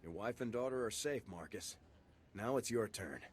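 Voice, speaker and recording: A man playing a steady, dutiful military lieutenant in a video game, speaking in a terse, reassuring manner. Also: on the low side, moderate pace, slight background noise, close to an everyday voice. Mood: reassuring, firm. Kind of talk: video game cutscene acting.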